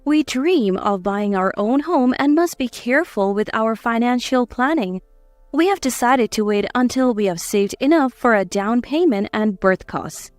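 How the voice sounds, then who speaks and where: High, animated woman narrating a story. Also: normal speed, dry recording, soft background music. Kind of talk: scripted first-person story narration.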